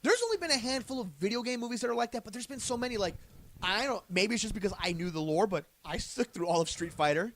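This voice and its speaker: A man's voice: sits high, lively intonation, fast, fairly bright tone, a little hiss or hum. A man co-hosting a podcast, talking casually about film and pop culture. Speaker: podcast co-host.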